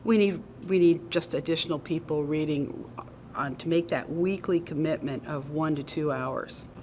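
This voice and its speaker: Woman, low voice, interview guest, nonprofit director, measured, earnest, light fillers. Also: noisy background. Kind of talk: explanatory interview answers.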